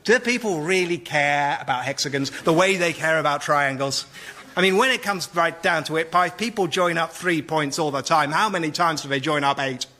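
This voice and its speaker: Radio sketch role: gushing, pushy male agent acted by a man, high register, salesman's patter and punchy delivery. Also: normal speed, background noise, lightly acted. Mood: coaxing, flattering. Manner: effusive, salesman-like, punchy exclamations. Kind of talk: radio sketch comedy acting.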